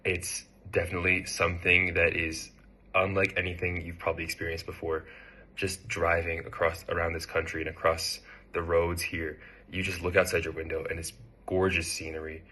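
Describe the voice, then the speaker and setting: A young American man in his twenties, speaking about his internship in a relaxed, hesitant way with frequent fillers. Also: low voice, normal speed. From United States. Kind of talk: casual testimonial.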